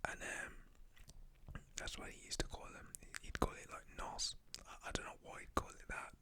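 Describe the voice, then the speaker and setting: A young man telling a story in an informal, rambling, slangy way, in a breathy ASMR whisper. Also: moderate pace, sharp tone. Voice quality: whispered, breathy voice without voicing.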